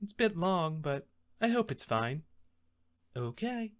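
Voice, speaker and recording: A man's voice: on the high side, big pitch swings, a little slow. A man talking casually to viewers as a voice acting auditionee.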